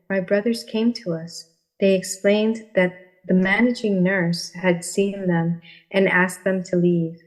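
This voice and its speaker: A woman's voice: mid-range voice, a little mellow, poor audio. A woman speaking as a podcast guest, sharing a personal experience. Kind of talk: personal testimony, storytelling.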